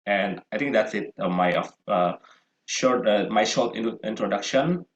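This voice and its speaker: A man's voice: lowish voice, unhurried, reverberant, dull audio. A man from Indonesia introducing himself on a panel, often stumbling and restarting words.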